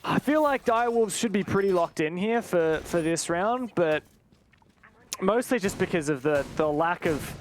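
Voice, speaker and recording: A man's voice: high-pitched, animated, measured pace, slight background noise. A man giving live play-by-play commentary on an esports match, with vivid, dramatic phrasing.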